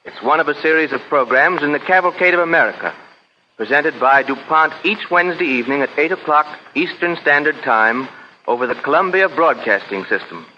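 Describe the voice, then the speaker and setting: A man's voice: a little high, expressive, brisk. A man reading a scripted sponsor announcement formally as an old-time radio announcer. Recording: muffled old radio, faint noise.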